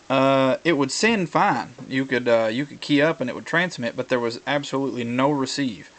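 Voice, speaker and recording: A man talking casually through a hands-on tutorial, explaining things in a halting way as he thinks aloud. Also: mid-range voice. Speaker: hobbyist video presenter.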